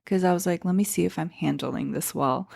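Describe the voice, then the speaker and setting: Lower-voiced woman chatting with many fillers. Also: a little fast, vocal fry, a little mellow. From Iran, raised in Seattle. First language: Persian. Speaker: stand-up comedian, podcast guest. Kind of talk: casual interview chat.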